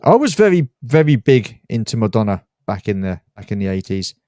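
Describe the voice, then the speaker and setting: A middle-aged British man chatting as a guest, plain-spoken and halting, often repeating his words. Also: lowish voice, sing-song, dark tone, no echo, clean studio sound. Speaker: guest toy collector. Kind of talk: casual chat. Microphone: remote live stream.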